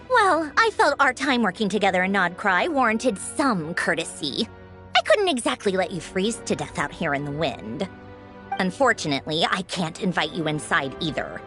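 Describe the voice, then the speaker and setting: A woman's voice: mid-range voice, fairly bright tone. An actress voicing a poised, sardonic female Fatui Harbinger in a video game, cool and composed, with faint music behind.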